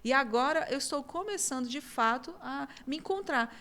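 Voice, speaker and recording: A woman's voice: high voice, lively intonation, normal speed, sharp tone. Chatty, effusive woman talk show host. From Minas Gerais, Brazil. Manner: effusive, talkative, laughs often. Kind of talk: casual studio interview. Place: TV studio.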